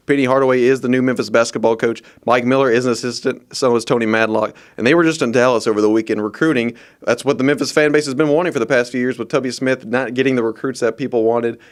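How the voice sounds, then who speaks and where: Young man reporting local news. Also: mid-range voice. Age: young adult. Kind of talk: scripted news reporting to camera and voice-over.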